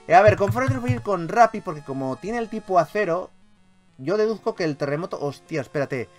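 Animated, higher-voiced Spanish gamer guy. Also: a little fast, soft background music. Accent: Castilian Spanish from Spain. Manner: animated, expressive, swears freely. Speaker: gaming YouTuber. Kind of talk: excitable let's play commentary.

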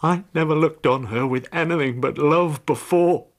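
A man's voice: fairly high pitch, moderate pace, natural voice. An actor in a radio drama playing a bitter, jealous, hard-drinking husband confessing to murder, brooding as he tells it. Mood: bitter confession. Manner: brooding, confessional storytelling that breaks into confrontation.

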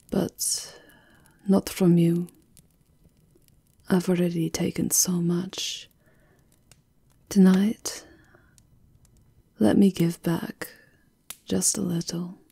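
A seductive vampire countess, acted by a woman for an ASMR roleplay, speaking slowly in a low, breathy murmur that often sinks into a whisper. She sounds tender, sensual and aristocratic, with a gravelly edge of vocal fry. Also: dark tone, heavily acted. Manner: slow, sensual, unhurried murmuring. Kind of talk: ASMR roleplay, intimate scripted monologue.

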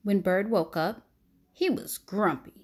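A woman reading a children's picture book aloud in an expressive, deliberate, dramatic storytelling manner. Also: medium pitch. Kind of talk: expressive read-aloud narration.

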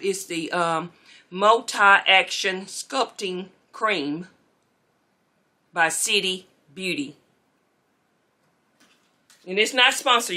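Chatty woman vlogger, bright voice. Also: on the low side. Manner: chatty, relaxed, talks to viewers as y'all. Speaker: beauty vlogger. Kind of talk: casual chat while tidying. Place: bedroom beauty room indoors. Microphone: camera mic, moving around.

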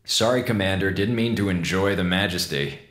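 An actor playing a wisecracking, theatrical communications officer on a space station in an audio drama, sarcastic and slangy. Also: male voice, low voice, fairly quick, a bit bright, echoey room. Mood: flippant, casual.

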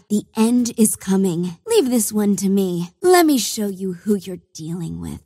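An actress playing a bloodthirsty young female warlord of a dark cult in a video game, with imperious, menacing, theatrical delivery. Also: mid-range voice, dry recording, studio quality. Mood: menacing, triumphant.

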